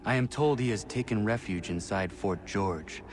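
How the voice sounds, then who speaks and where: An actor playing a determined young warrior assassin in a video game, narrating in a serious, formal, measured way. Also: male voice, on the low side, background noise, lightly acted. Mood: grave, resolute.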